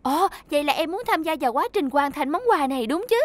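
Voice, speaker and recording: A woman voicing a bright, capable, friendly young female mechanic in a children's cartoon dub, helpful and encouraging. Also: high voice, expressive, fast, no room sound.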